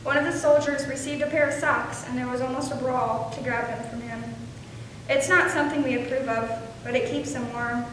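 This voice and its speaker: A woman's voice: high-pitched, steady tone, brisk, fairly bright tone. A woman playing an earnest, cold male soldier of the Civil War, reading a letter home in readers theater. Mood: weary, earnest. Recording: very echoey hall, distant, faint noise.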